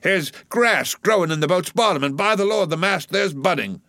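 A man's voice: medium pitch, animated, moderate pace, a bit bright, dry recording, clean studio sound, pitched above the performer's own voice, close to an everyday voice. A man voicing a jocular, blustering whaleboat mate in an audiobook, hectoring his crew with exclamations and rhetorical questions. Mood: blustering urging. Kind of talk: audiobook storybook character voice.

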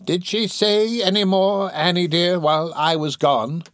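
A man's voice: high voice, fairly even intonation, measured pace, a bit bright, no room sound, pitched above the performer's own voice. A man voicing a sly old workhouse crone in a storybook, gossiping morbidly in a drawl.

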